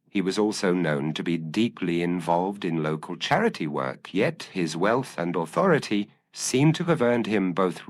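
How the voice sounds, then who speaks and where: Man voicing an anxious, hesitant village constable, animated pitch. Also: full character voice. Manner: formal, uneasy, hesitant. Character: earnest, anxious, stocky male village constable.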